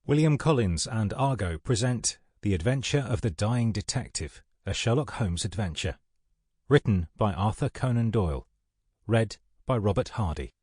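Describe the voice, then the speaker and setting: Announcer: man, lowish, breathy, close dry sound, scripted title and credit read. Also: expressive, normal speed, darkish tone, dry recording. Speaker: audiobook announcer.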